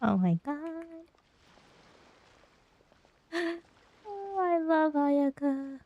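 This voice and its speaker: A woman's voice: high-pitched, big pitch swings, very slow, no echo, highs cut off. A woman streamer giving casual, gushing and affectionate commentary over a game. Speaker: streamer reading along with a game.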